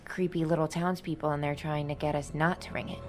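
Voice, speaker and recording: A woman's voice: low voice, level tone, brisk, darkish tone. A woman discussing choices casually and in character as a player in a tabletop role-playing game. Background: music.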